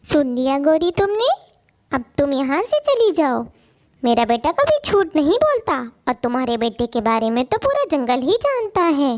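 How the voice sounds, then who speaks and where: A woman's voice: high-pitched, sing-song, normal speed, no room sound, studio quality. A woman voicing a protective, caring mother bird in a children's cartoon story, earnest and motherly. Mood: protective, firm. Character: gentle, caring mother bird, protective of her young son.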